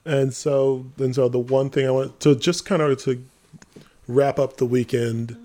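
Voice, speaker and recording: A man hosting a podcast, a playwright telling stories casually in a halting, informal way. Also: mid-range voice, fairly even intonation, dark tone. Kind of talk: casual storytelling chat.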